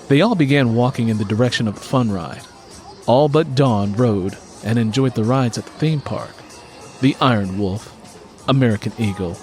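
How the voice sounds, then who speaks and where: A man's voice: mid-range voice, a little mellow. A man reading scripted fiction as an audiobook narrator.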